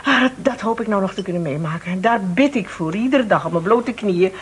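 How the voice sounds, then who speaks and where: An actress playing a thrifty, condescending old aunt in a Dutch radio play, sharp-tongued and coaxing by turns. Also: female voice, medium pitch, expressive, brisk, slight background noise, close to an everyday voice. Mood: coaxing, pleading. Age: older.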